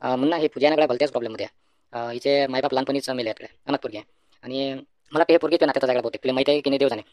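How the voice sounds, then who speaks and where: A man's voice: mid-range voice, very fast, a little mellow, no room sound, studio quality, natural voice. A man voicing a confident, take-charge young village friend in a comedy cartoon, colloquial, with a Varhadi Marathi accent. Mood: earnest, persuading.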